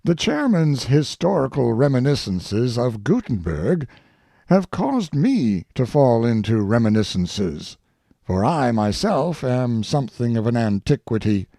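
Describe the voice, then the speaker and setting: A man reading a humorous old speech aloud as scripted narration, in a measured storytelling delivery with deliberate pauses. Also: mid-range voice, very animated, gravelly, warm tone, no room sound, clean studio sound. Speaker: audiobook reader.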